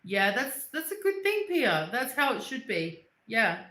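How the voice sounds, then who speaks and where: A woman's voice: fairly high pitch, very animated, unhurried, sharp tone. A woman hosting a casual livestream, rambling through personal stories and answering viewers' comments, with English as her second language. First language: not English. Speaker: livestream host reading chat. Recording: echoey room.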